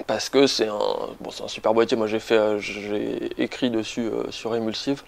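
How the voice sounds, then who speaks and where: Easygoing young Frenchman with creaky voice. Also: lowish voice, level tone, normal speed. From Toulouse, France. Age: late 20s. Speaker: interviewed photographer. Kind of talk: casual interview chat.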